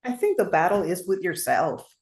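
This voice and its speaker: A woman's voice: lowish voice, lively intonation, normal speed, a little mellow. A woman from Puerto Rico, a native Spanish speaker with a Puerto Rican accent, speaking earnestly as a guest and repeating phrases for emphasis. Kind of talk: casual livestream chat.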